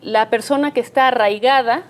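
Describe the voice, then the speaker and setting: An actress playing a probing television news host who talks in conversational, broken phrases in a live interview. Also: female voice, a little high, normal speed, close to an everyday voice. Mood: surprised, hesitant. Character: probing female television news host, composed but pressing.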